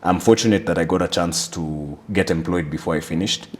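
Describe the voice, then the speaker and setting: A man's voice: deep voice, slight background noise. A young man with a Kenyan accent, speaking reflectively as an interview guest about his life and work. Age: young adult. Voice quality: radio voice. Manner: reflective, measured, frequent "like" fillers. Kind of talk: conversational interview.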